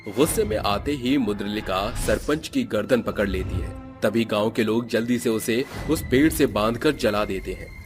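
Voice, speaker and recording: A man narrating a cartoon horror story in an even storytelling manner. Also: lowish voice, normal speed, few pauses, over music.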